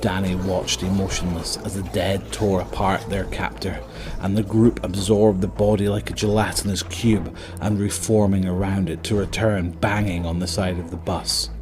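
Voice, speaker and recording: A man's voice: deep voice, level tone, normal speed. A man narrating a scripted horror audio drama in a dramatic storytelling style. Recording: music under the voice.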